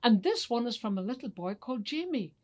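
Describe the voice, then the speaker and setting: A woman voicing excitable workshop elves who squeal and repeat their exclamations in a children's story. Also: fairly quick. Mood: gleeful. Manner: shrill, exclaiming, repetitive.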